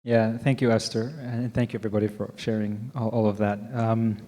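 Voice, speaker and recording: A Canadian man moderating a conference panel, talking in a relaxed way with many ums and restarts. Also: lowish voice, brisk. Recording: long hall reverb.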